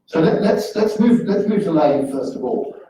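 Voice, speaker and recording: Mellow, polite man moderating a panel. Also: a little high, lively intonation. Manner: polite, halting. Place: conference room. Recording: echoey hall, distant mic, faint noise.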